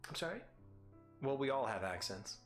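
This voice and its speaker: A man's voice: medium pitch, big pitch swings, moderate pace, faint music behind. Playful male streamer with an Arizona mumble. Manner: playful, teasing, rambling jokes. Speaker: live streamer host. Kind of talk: casual chat with viewers.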